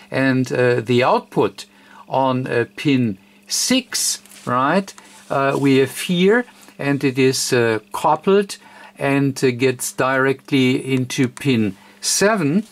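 A man giving an unscripted technical walkthrough as an electronics presenter, explaining in a hesitant, halting way. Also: mid-range voice.

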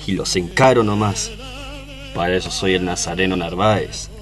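A man's voice: low-pitched, fairly even intonation, normal speed, close to an everyday voice. An actor playing a proud, lovesick tough guy in a tango radio play, with an Argentine accent and poetic, dramatic delivery. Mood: cocky. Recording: music behind the voice.

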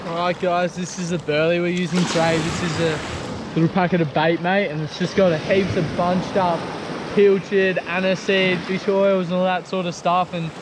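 A man's voice: sits high, little pitch movement, normal speed. A young Australian man hosting a fishing vlog, laid-back and slangy, explaining each step. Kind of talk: casual outdoor how-to. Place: ocean beach outdoors, in the shallows. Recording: loud surf noise behind.

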